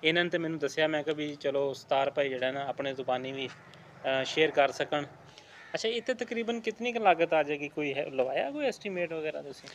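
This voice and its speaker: A middle-aged man with a Punjabi accent speaks in a slightly high voice. He chats casually on location outdoors, with some background noise around him. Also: expressive, fairly quick. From Pakistan. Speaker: charity worker interviewing locals. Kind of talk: casual on-site conversation. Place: outdoors at an unfinished brick building site.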